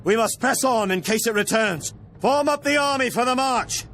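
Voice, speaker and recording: A man playing a devout, urgent army commander in a fantasy game, gravelly, with music behind. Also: very high-pitched, moderate pace, a bit bright.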